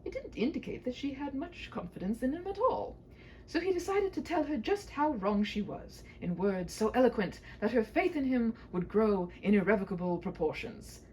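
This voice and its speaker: A woman's voice: fairly high pitch, animated, moderate pace, a little mellow, a little hiss or hum, rough recording. A woman reading a humorous storybook aloud as narrator, with wry, theatrical delivery.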